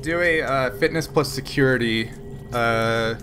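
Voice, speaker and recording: A man narrating as game master of a tabletop role-playing game, halting and restarting often as he describes the action. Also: mid-range voice, noisy background. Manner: halting, filler-heavy narration with frequent restarts.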